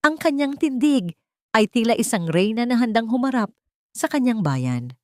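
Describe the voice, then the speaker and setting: A woman's voice: fairly high pitch, big pitch swings, normal speed, darkish tone, no room sound, clean studio sound. A woman narrating a dramatic story from a script.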